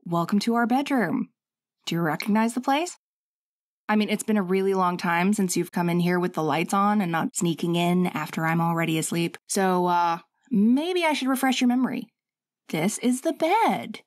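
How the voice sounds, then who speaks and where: A woman's voice: fairly low pitch, animated, moderate pace, no room sound, lightly acted. A woman acting a bossy, loving wife, close and dry. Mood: teasing, coaxing. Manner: scolding, teasing, coaxing, conversational. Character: bossy, sassy but loving worried young wife. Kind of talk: intimate ASMR audio roleplay.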